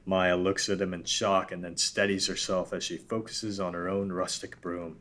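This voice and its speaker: Low-pitched man reading screenplay directions. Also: flat delivery, normal speed, fairly bright tone. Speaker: narrator. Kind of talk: scripted narration, screenplay stage directions. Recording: background room noise.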